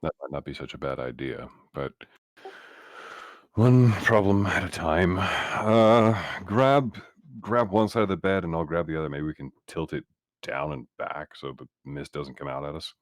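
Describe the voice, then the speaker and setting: A man's voice: sits low, normal speed, dry recording, studio quality. A man playing a tabletop roleplaying game, speaking casually in character as he talks through the situation.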